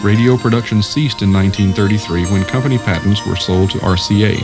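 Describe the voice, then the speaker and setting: A man's voice: on the low side, a little fast. A man reading scripted documentary narration. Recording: music under the voice. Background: music.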